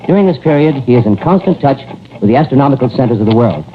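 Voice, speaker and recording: An actor playing a courteous, eager male radio news commentator, speaking formally and a little haltingly in a 1930s radio drama. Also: mid-range voice, lively intonation, lightly acted. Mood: formal, inquiring. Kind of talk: 1930s radio drama live-broadcast reporting. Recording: scratchy, tinny 1930s radio recording.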